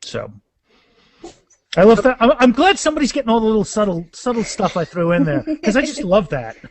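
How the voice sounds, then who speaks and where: A man's voice: high-pitched, very animated, a little fast. A man chatting casually as a guest author, emphatic and often repeating words as he explains. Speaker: guest author discussing his books. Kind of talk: casual animated interview chat.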